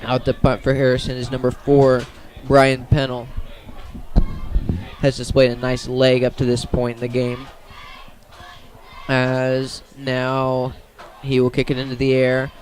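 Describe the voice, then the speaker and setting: Even-toned man calling football. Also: mid-range voice, a little slow. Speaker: play-by-play sports commentator. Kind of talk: live high school football radio play-by-play.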